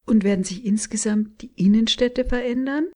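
A woman hosting a radio show, reading calm and clear summaries and introductions. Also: on the high side, normal speed. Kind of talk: scripted radio moderation.

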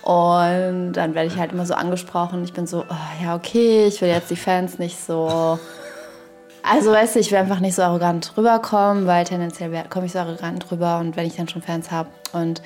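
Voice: medium pitch, flat delivery, a little fast, darkish tone, faint music behind. A woman's voice co-hosting a podcast in casual chat, playful and ironic, wandering from thought to thought. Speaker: podcast co-host.

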